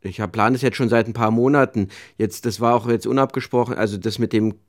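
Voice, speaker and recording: A man's voice: on the low side, fairly even intonation, fast. A man speaking casually and a little hesitantly, rambling as he makes enquiries. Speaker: documentary radio author planning a trip.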